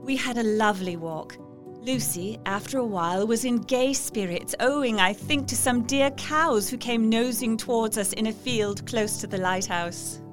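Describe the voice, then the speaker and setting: A woman's voice: a little high, normal speed, music in the background, close to an everyday voice. A woman playing an earnest, anxious young Victorian lady reading out her diary in an audio drama, genteel in manner. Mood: tired, fond.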